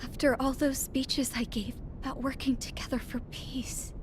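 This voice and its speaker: An actress playing a grieving young princess, narrating a game cutscene in a solemn, formal, sorrowful way. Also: female voice, high-pitched, flat delivery, normal speed, noisy background, close to an everyday voice. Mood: numb, sorrowful. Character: grieving, idealistic young princess, numb with loss. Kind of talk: scripted first-person game cutscene narration. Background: loud music.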